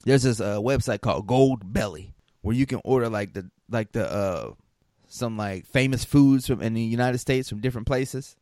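A man's voice: medium pitch, moderate pace, mellow, dry recording, studio quality. A man co-hosting a podcast in casual chat, telling stories in loose slang with lots of fillers. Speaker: podcast co-host.

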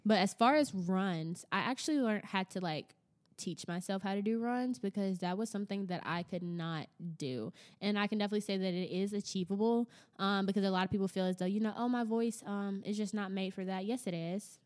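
A woman's voice: mid-range voice, fairly quick. Strong-voiced young woman, emphatic and chatty. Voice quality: strong, loud soprano voice. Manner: animated, emphatic, repeats phrases for effect. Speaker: singer, interview guest. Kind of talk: casual chat, giving advice.

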